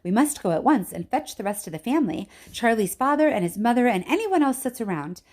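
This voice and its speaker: A woman's voice: a little high, very animated, brisk, a little mellow, voice pushed up. A woman voicing an eccentric, eager old male factory owner with an emphatic, cheerful, insistent way of talking in a storybook reading. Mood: excited, urgent. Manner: emphatic, exclamatory.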